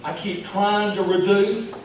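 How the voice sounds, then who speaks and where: A folksy, jovial small-town pet store manager, played by a man reading a story aloud, boasts and confides like a chatty regular. The voice is on the high side, heard from a distance in a very echoey, noisy room. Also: fairly even intonation, measured pace. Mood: boisterous. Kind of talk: dramatic reading of short story monologue. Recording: very echoey room from afar, clear noise.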